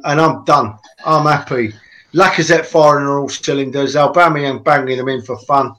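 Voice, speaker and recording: A man, talking football in a lively, emphatic casual chat and repeating lines for effect. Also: on the high side. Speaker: podcast co-host.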